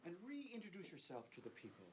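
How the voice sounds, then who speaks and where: A man's voice: high voice, wildly swooping pitch, fast talker, breathy edge, dry recording. A man hosting a whimsical live show in a playful, theatrical and effusive manner. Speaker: host.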